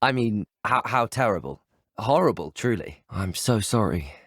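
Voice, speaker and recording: A man's voice: lowish voice, expressive, moderate pace, no echo, studio quality. A young man with a British accent playing a polite, earnest, inquisitive teenage student wizard in a video game, courteous and well-spoken. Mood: earnest, intrigued. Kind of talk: scripted video game dialogue voice lines.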